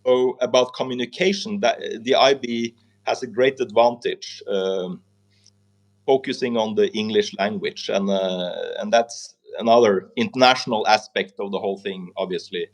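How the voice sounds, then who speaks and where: A middle-aged man from Norway with a Norwegian accent, a teacher and interview guest. He speaks English as a second language, haltingly, with many repeated words and restarts. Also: lowish voice, steady tone. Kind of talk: conversational interview.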